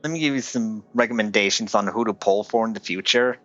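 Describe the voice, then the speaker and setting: A man live-streams a game with music playing faintly behind him. He talks casually and gives advice, often halting and feeling for his words. The sound is dry and slightly dull. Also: fairly low pitch, a bit bright, dry recording. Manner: hesitant, halting delivery.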